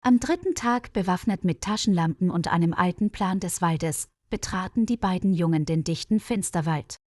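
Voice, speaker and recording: Female storyteller for learner audio, animated intonation, dry close sound, scripted narration. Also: fairly low pitch, normal speed, no echo.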